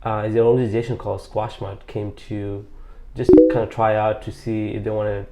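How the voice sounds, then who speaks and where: A man's voice: fairly low pitch, level tone, moderate pace, heavy background noise. A man in his late twenties from Bangladesh telling his story as an interview guest, rambling with lots of fillers and restarts. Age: late 20s. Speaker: interview guest, squash coach.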